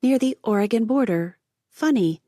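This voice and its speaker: A woman's voice: on the high side, no echo, studio quality, pitched above the performer's own voice. A woman voicing a chatty, teasing young bookseller. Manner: lively, teasing, quick to banter. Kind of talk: audiobook character voice.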